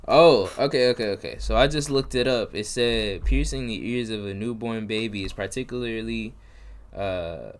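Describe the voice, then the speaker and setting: A man's voice: on the low side, normal speed. A man casually reacting to a video, talking slangy and laid-back to his viewers. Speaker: reaction streamer.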